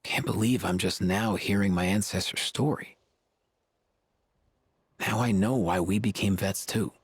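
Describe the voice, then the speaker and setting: A man playing a troubled, laid-back vet in a video game, with a husky voice. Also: sits low, fairly even intonation, measured pace, dry recording. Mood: shaken, pensive. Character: troubled male vet, laid-back, shaken by his ancestry.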